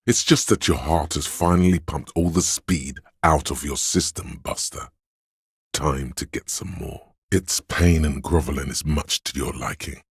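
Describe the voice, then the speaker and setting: Seductive voice of addiction, game character, heavily raspy, slow insinuating delivery, dry close recording. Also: male voice, no room sound, exaggerated voice. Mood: urging, craving. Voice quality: deep, gravelly, husky. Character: seductive, hedonistic inner voice of addiction and lust. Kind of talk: video game character narration.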